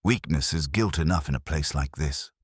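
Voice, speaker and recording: A man voicing a proud, scornful woman schemer in a mystery story, speaking in a cold, sharp, deliberate way. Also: very deep voice, slightly rough, dry recording, clean studio sound.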